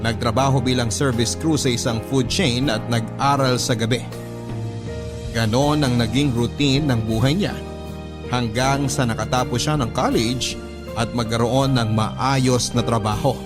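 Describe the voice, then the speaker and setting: A man narrating a first-person letter for a radio drama in a measured, reflective storytelling manner. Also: mid-range voice, fairly even intonation. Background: guitar music.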